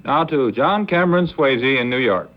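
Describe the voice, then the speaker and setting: A man's voice: medium pitch, animated, moderate pace, a little hiss or hum. A man reading a scripted field report as a 1950s news correspondent. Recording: muffled 1950s broadcast sound.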